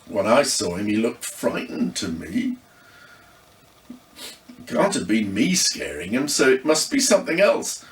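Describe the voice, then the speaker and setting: A man voicing an excitable, kind-hearted young girl in an audiobook ghost story, exclaiming earnestly. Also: lowish voice, a little slow, long pauses, echoey room, distant mic, soft background music.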